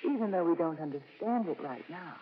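An actress playing a devout, consoling nun, speaking with earnest, tender formality in 1950s radio drama style. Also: female voice, fairly low pitch, expressive, a little fast, a little hiss or hum, natural voice. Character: gentle, devout, hopeful, consoling nun, adult woman. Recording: dull old radio broadcast.

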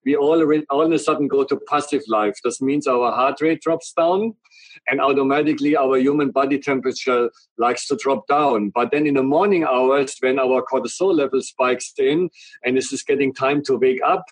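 A man's voice: medium pitch, normal speed, slightly rough, no room sound, low-quality recording. A middle-aged man from Germany with a strong German accent, earnestly explaining a product at length in an interview. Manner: earnest, explains in long run-on sentences. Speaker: guest, company representative.

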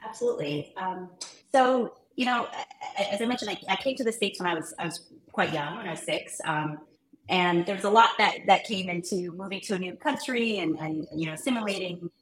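Low-voiced woman in an echoey room. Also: fairly quick, slight background noise. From Ghana. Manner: warm, chatty, many ums and restarts. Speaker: guest, physician and startup founder. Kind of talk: casual interview conversation. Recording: roomy echo.

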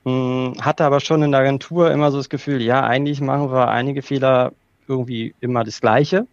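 A man from Berlin, guest on a podcast, explaining his career in a measured way with filler sounds. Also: medium pitch, fairly even intonation, no echo. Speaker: guest, organizational developer. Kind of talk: casual interview. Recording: dull lo-fi dry sound.

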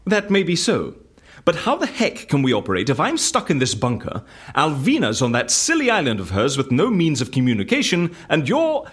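A man playing an eccentric, excitable, childlike fussy fellow in an audio drama, with theatrical, exclamatory delivery. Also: on the high side, moderate pace, husky, exaggerated voice. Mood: fretful. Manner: theatrical, exclamatory, quaint turns of phrase.

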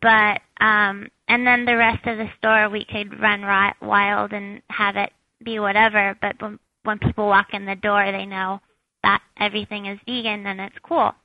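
Squeaky-voiced woman, chatty and flat. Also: normal speed, dry recording, narrow, tinny audio. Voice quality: squeaky high voice. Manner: chatty, many fillers, laughs at herself. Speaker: vegan shop owner, guest. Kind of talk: casual interview answers.

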